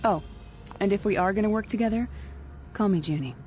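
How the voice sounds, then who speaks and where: An actress playing a businesslike, no-nonsense security officer in a video game, with a brisk, professional manner. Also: female voice, mid-range voice, over music, close to an everyday voice. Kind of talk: video game cutscene acting.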